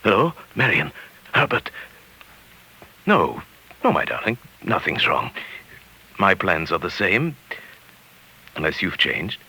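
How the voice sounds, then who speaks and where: An actor playing a meek, middle-aged henpecked husband who secretly schemes, in 1940s radio drama style. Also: male voice, low-pitched, big pitch swings, slow, pauses often, pushed and bright, breathy edge, exaggerated voice, poor audio. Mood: furtive, tender. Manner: meek deference with simmering resentment. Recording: 1940s radio broadcast with background music.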